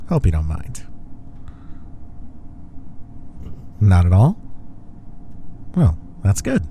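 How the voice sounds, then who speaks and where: Young male office rival for intimate audio drama, played by a man: dark mellow tone, lively intonation, smug playful banter. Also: low-pitched, a little hiss or hum. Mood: smug teasing. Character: teasing, smug young male office rival softening toward his coworker. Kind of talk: intimate roleplay audio acting, one-sided conversation.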